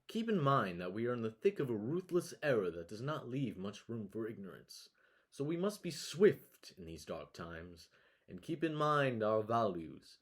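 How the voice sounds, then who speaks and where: A man playing an earnest 1950s newscast anchor, formal and solemn, sometimes halting over his words. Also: on the low side. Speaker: news anchor. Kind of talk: mock 1950 newscast, scripted with stumbles.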